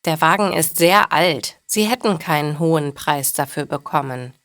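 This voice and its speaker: A woman's voice: deep voice, moderate pace, a bit bright, no echo, clean studio sound. A woman voicing a practical, helpful male car mechanic in a storybook, in emphatic, explanatory dialogue.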